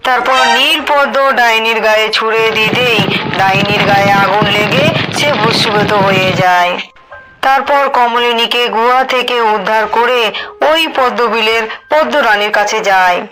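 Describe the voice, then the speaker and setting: A woman's voice: on the high side, normal speed, over music, rough recording. A woman narrating a scripted fairy tale for a cartoon.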